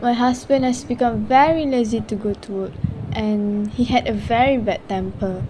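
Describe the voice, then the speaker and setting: Casting: actress as troubled young mother and wife, plaintive, higher-than-average female voice, amateur radio play, slight background noise. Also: normal speed, mellow, natural voice. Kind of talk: amateur radio drama acting.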